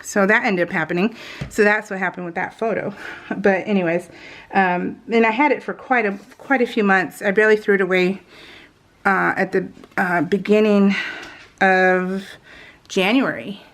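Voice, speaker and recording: A middle-aged American woman telling personal stories in a casual, informal, animated way, slipping into retold dialogue. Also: mid-range voice, moderate pace. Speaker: craft video host. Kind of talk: casual chatty storytelling.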